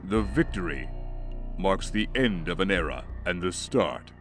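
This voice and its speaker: A man reading dramatic scripted narration in a grave, deliberate way. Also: low-pitched, animated, faint music behind.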